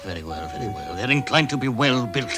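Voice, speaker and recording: An actor playing a wry, deliberate storybook narrator, in a vintage radio drama. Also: male voice, mid-range voice, expressive, lightly acted. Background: music.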